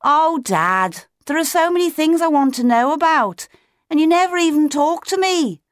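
A woman voicing a curious young male penguin chick in a storybook, squeaky and insistent, often yelling his questions. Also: sing-song, unhurried, dry recording, studio quality. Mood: pleading.

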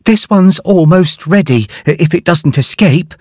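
A man's voice: high-pitched, animated, a little slow, a little mellow, no room sound, studio quality, voice pushed up. Man doing a fretful, cautious storybook friend. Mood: worried. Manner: careful, fretful. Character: cautious, worried male friend.

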